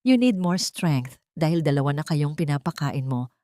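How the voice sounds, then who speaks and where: Woman acting a kindly, low-voiced teasing brother. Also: expressive, normal speed, dark tone, dry recording, clean studio sound. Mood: gentle teasing. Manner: light, caring teasing. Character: kindly, teasing brother coaxing his sister.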